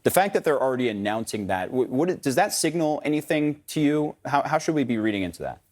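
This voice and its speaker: A man anchoring a live TV news interview, putting questions to a guest in a conversational, slightly halting way. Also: medium pitch, animated, a little mellow.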